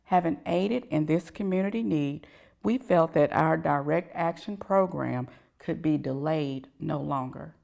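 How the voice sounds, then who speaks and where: Lady reading an old letter out loud, really low voice, gravelly creak. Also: unhurried. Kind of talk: reading a historic letter aloud.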